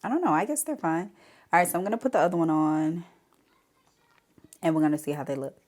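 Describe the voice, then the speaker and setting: A woman's voice: deep voice, lively intonation, fast, darkish tone. A woman with a stuffy nose from a cold, chatting casually to viewers through a makeup tutorial. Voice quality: stuffy, congested. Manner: chatty, talks straight to viewers as "y'all". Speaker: beauty vlogger.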